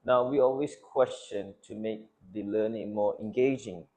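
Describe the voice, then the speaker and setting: Formal, low-voiced panelist, a man. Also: moderate pace, a little mellow. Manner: fluent, formal, lecturing. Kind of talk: student panel discussion.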